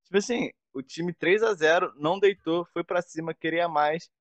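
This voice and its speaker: A man's voice: fairly high pitch, normal speed, no room sound. A Brazilian man in a casual football chat, giving his opinions as a commentator in slangy everyday speech.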